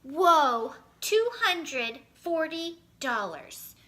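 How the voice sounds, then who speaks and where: A woman voicing an earnest, excitable young schoolboy in a children's storybook. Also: high voice, very slow, voice pushed up, exaggerated voice. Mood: excited.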